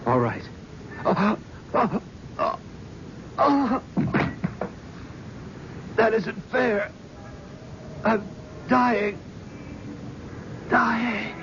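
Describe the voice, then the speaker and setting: A guilt-ridden middle-aged agent, earnest and emotional, with a mid-range voice. The recording is muffled old radio with clear hiss. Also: male voice, high voice, sing-song, drawn-out words, pauses often, full character voice. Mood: dying. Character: remorseful middle-aged male talent agent. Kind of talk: 1970s radio drama acting.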